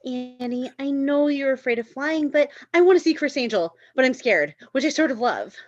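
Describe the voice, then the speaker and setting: A woman playing an excitable, gushing, timid young wife in a comedy script read. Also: sits high, normal speed, muffled recording, pitched above the performer's own voice. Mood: excited, nervous. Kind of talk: live comedy screenplay table read.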